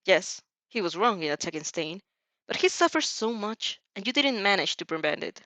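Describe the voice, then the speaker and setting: A woman giving an expressive, emotive reading of a story as an amateur narrator, now and then stumbling over lines. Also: medium pitch, moderate pace, no room sound. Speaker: amateur fan fiction narrator.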